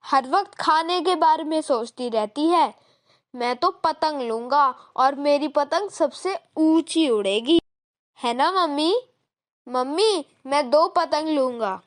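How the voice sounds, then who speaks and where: Woman as an eager, high-pitched little boy. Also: slow. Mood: eager, excited. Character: eager, caring young boy, son of a poor mother. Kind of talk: Hindi moral story voice acting.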